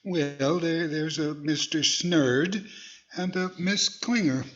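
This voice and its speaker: A man's voice: on the high side, slow pace, fairly bright tone, dull audio. A man playing an earnest, hesitant ventriloquist boss, the flustered straight man of a 1940s radio comedy. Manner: hesitant, earnestly self-defending.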